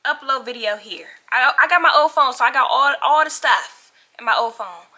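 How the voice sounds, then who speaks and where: High, bright-voiced young woman telling a story. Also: lively intonation, normal speed. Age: young adult. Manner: dramatic, slangy, acts out dialogue. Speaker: storytime vlogger. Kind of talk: animated casual storytelling to viewers.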